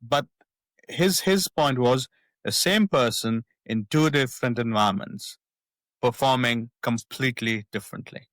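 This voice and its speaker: A man's voice: mid-range voice, unhurried, fairly bright tone, no room sound, poor audio. Pakistani-accented man, thoughtful and repetitive. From northwest Pakistan. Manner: thoughtful, frequent repetitions and restarts. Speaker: psychiatrist guest. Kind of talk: reflective interview talk.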